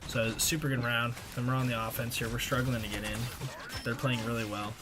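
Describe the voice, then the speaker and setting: A man narrates gameplay in a casual, slangy way, as if chatting to a friend. His voice sits at a middle pitch, with clear game noise behind it. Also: little pitch movement, brisk. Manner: slangy, conversational. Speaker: gaming video creator. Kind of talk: casual gameplay voice-over commentary.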